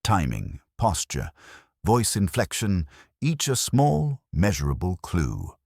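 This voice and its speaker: Very deep-voiced man narrating a mystery. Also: wildly swooping pitch, vocal fry, a little mellow, slightly rough, no echo, clean studio sound. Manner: measured, literary, formal phrasing. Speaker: audiobook narrator. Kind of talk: scripted narration of a mystery story.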